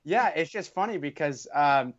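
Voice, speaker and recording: A man's voice: a little high, animated, normal speed, highs cut off. A man with a Boston accent co-hosting a podcast, chatting casually in a joking, rambling way. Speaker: podcast co-host. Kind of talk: casual chat.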